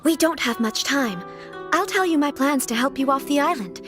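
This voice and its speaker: A woman's voice: sits high, animated, moderate pace, over music. A woman playing a refined, resolute young noblewoman in a video game, speaking formally and with composure. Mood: earnest, confiding. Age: young adult.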